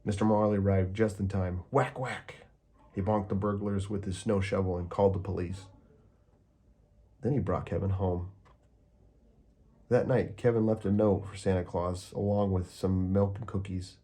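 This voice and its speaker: A man reading a children's picture book aloud with calm, steady storytelling. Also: low-pitched, moderate pace, breathy edge. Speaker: storybook reader.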